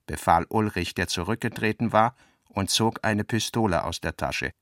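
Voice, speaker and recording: Creaky-voiced man reading literary narration. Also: fairly low pitch, normal speed, a bit bright, no room sound, studio quality. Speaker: narrator, reader of literary text and notes. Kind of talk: scripted literary narration for a radio play.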